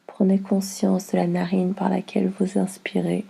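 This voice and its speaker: Gravelly, hushed woman guiding relaxation. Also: mid-range voice. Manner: slow, calm, soothing instruction with long pauses. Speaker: yoga nidra guide. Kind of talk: guided relaxation meditation.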